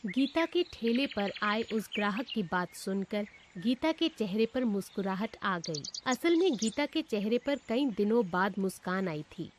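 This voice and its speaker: A woman's voice: mid-range voice, lively intonation, unhurried, hardly any pauses, slight background noise. A woman narrator reading a scripted moral story.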